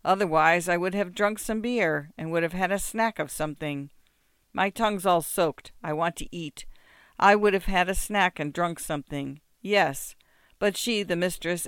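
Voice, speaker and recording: A bellowing drunken peasant, bossy and rambling, pitched a bit high and pushed loud, as a male narrator puts him on. The voice sounds smooth and close in a dry space. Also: no echo. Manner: blustering, bossy, slurred shouting. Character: drunken boastful peasant man, gruff and rambling.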